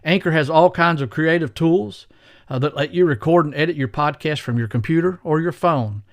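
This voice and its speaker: A man's voice: on the high side, normal speed, no room sound, clean studio sound. A man hosting a podcast, reading scripted introductions and promotional copy. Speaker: podcast host. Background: faint music.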